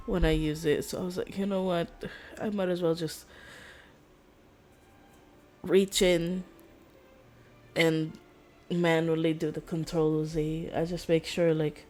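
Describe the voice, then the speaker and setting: A woman's voice: on the low side, mellow. A woman streamer chatting casually while gaming, in a rambling, giggly way.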